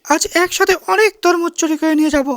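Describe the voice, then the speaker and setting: Cartoon male fox, female performer, sly and eager, extremely high voice, dry recording. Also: fast talker, no room sound. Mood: gleeful scheming. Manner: exclamatory, repeats phrases.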